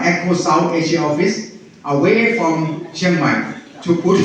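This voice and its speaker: A man from Thailand heading an organization's partnership team, addressing an audience at an event with halting phrasing, many fillers and restarts, and laughs at his own jokes. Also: a little high. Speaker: head of partnership engagement at a farming organization. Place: large hall at an event. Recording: echoey room, voice from far off, dull lo-fi sound.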